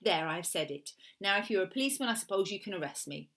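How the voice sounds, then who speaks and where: A woman voicing a fragile, bitter Italian woman of thirty who hires a killer, with an Italian accent and formal, deliberate phrasing. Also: mid-range voice, animated, fairly bright tone. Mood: cool, amused.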